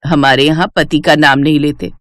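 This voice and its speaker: A woman voicing an older, traditional village mother-in-law in a cartoon, bossy and skeptical, with a slow homely scolding manner. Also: low voice, steady tone, dry recording, studio quality, voice pushed down, lightly acted. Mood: scolding, instructing. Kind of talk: Hindi moral-story cartoon acting.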